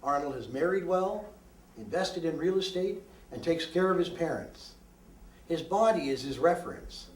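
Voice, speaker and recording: A man's voice: on the high side, lively intonation, normal speed. Echoey, distant male poet reading aloud. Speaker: poet reading own work. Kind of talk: live poetry reading, declamatory. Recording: roomy echo, distant microphone.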